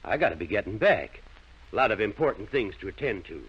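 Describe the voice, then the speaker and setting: A man acting in an old-time radio drama as a loyal, devout small-town working man with a folksy manner and a husky voice. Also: on the low side, very animated, fast, exaggerated voice. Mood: warm, folksy. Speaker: radio actor. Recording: dull, lo-fi vintage radio recording.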